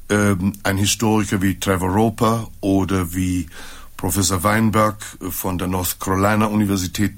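A man's voice: on the low side, a bit bright. An older man, a magazine publisher, defending his position in an archival interview with insistent, self-assured arguments.

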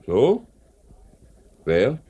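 A man acting a measured, businesslike factory director in a 1950s radio drama, speaking with a Swiss German accent. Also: medium pitch, wildly swooping pitch, warm tone, husky, dull audio, heavily acted. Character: measured, fair-minded male factory director.

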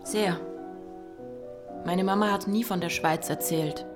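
An actress playing a searching, direct woman in a radio drama, speaking in short, deliberate phrases. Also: fairly low pitch, steady tone. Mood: reserved. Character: searching, direct Polish woman, daughter of a composer. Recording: music behind the voice.

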